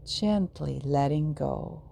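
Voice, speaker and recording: A woman leading a guided yoga nidra meditation in a soothing, measured way, leaving long pauses between short phrases. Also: deep voice, gravelly, mellow, slight background noise.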